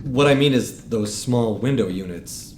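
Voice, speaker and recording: An actor playing a wary, stranded motorcyclist with a hesitant, colloquial way of talking in an audio drama. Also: male voice, medium pitch, measured pace, darkish tone, a little hiss or hum, close to an everyday voice. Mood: wary, probing. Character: wary, stranded male motorcyclist, an air-conditioner repairman. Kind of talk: full-cast audio drama acting.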